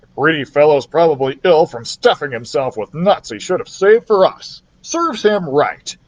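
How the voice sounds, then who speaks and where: A man's voice: fairly high pitch, very animated, moderate pace, highs cut off, voice pushed up. A man voicing a grumpy, ungrateful middle-aged uncle mouse who complains his way through a storybook. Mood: grumbling, spiteful. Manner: grumbling, complaining.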